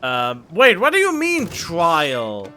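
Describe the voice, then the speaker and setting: A man's voice: a little high, wildly swooping pitch, slow, pushed and bright. A man giving casual, joking commentary while playing a game, on a desk condenser mic. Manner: joking asides, drawn out. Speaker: let's play streamer. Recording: game music playing behind.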